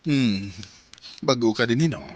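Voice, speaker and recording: A man's voice: mid-range voice, animated, slow, a little hiss or hum. A man playing a propositioning, moneyed club patron in a Filipino radio drama. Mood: coaxing.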